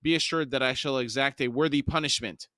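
A man's voice: medium pitch, a little fast, fairly bright tone, no echo, studio quality, natural voice. A man voicing a scheming, accusatory advisor with an insistent manner in a fantasy novel reading. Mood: smooth, persuasive. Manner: hissing, insistent.

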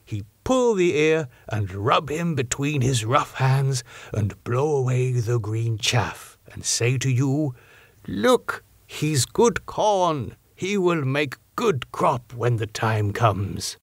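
A man voicing a grave, kindly old doctor in an audiobook, speaking slowly in quaint broken grammar. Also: medium pitch, expressive, slightly rough, dry recording, heavily acted. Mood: grave, counselling. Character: old Dutch doctor, grave, kindly, teacherly, parable-loving.

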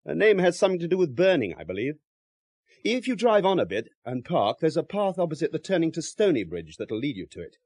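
An actor playing an unhurried, discouraging local country man in a radio drama, matter-of-fact and dry in manner. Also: fairly high pitch, big pitch swings, a little mellow, husky, no room sound, exaggerated voice. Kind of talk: 1970s radio drama acting.

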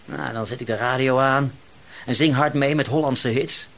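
An actor playing an eccentric, misanthropic middle-aged shopkeeper in a radio drama, musing to himself in a rambling, gloating way. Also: male voice, mid-range voice, moderate pace, a bit bright, lightly acted. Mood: dreamy musing.

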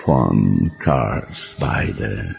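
An actor playing a gloating, menacing centuries-old vampire posing as a doctor, in old radio horror style. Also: male voice, extremely low pitch, lively intonation, gravelly, over loud music, heavily acted. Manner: menacing, gloating, slow and theatrical, with sinister laughter. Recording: dull old radio recording, long reverb.

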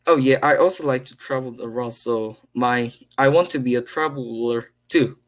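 A teenage boy with a Korean accent, speaking careful, halting English full of fillers. He is on a video call from a small room at home, picked up by his laptop mic. His voice sits at a middle pitch for a young man. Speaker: English learner. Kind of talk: casual conversation practice.

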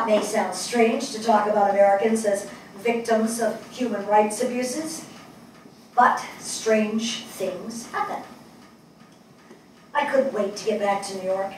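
Stage drama: older actress as earnest woman writer, deliberate, fairly high voice, heavily reverberant room. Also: natural voice. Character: earnest New York woman writer, sympathetic listener. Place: small theatre stage before an audience. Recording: echoey theatre, far from mic, faint noise.

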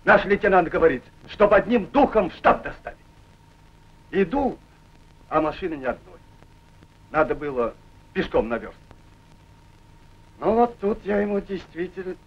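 A folksy husky Soviet soldier. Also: male voice, fairly high pitch, sing-song, normal speed, long pauses. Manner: folksy storytelling. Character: plain-spoken Soviet scout soldier, folksy. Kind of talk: 1940s film acting. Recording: dull old film soundtrack.

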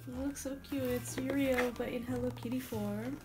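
A woman's voice: high-pitched, steady tone, normal speed, music in the background. A young woman unboxing toys in a living room, gushing and cooing over them, her voice strained as she is losing it. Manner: gushing, coos over toys, whispers at times. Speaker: unboxing vlogger. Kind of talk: casual unboxing chat.

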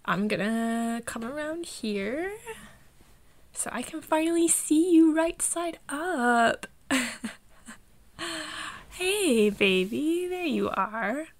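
A woman's voice: high voice, expressive, very slow, long pauses, no echo, full character voice. A woman playing an affectionate, teasing girlfriend cheering on her man in a playful, encouraging ASMR roleplay. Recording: music behind the voice.

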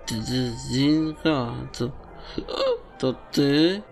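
Slurring, stammering drunk nobleman, very slow. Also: mid-range voice, darkish tone, faint music behind. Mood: muddled, woozy. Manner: halting, rambling. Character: drunk, muddled, suspicious aristocratic dignitary. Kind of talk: audio drama acting.

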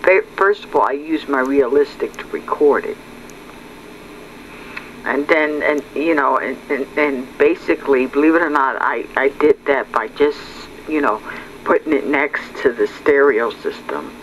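A man talking casually and unscripted, halting and rambling, often repeating his words, as a hobbyist narrating his home video. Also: mid-range voice, fairly bright tone, low-quality recording. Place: indoors at home. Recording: clear background noise.

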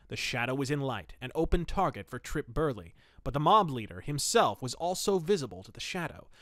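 A man's voice: medium pitch, fairly bright tone, dry recording. Man hosting a podcast, close dry sound. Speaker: podcast host. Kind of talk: reading pulp fiction aloud and reviewing it.

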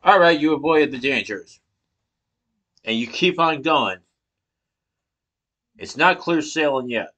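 A man's voice: on the high side, lively intonation, slow pace, long pauses, pushed and bright. A man in his thirties giving a persuasive class speech into a laptop webcam in a small room, asking rhetorical questions. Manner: rhetorical questions, emphatic hand gestures. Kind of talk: persuasive speech, partly read from notes. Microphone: laptop webcam from below.

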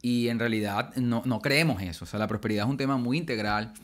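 A man's voice: mid-range voice, quick pace. A man, talking casually as a podcast guest at a close microphone in a small room. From Colombia. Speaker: podcast guest, speaker and author. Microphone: podcast microphone on arm, close.

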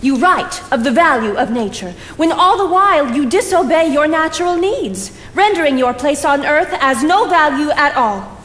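An actress playing a defiant young cleaning woman, blunt and deliberate, in declamatory theatrical style. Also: high voice, animated, fairly bright tone. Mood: accusing. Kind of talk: theatrical stage acting. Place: theatre stage, indoors. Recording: echoey theatre stage, background hiss.

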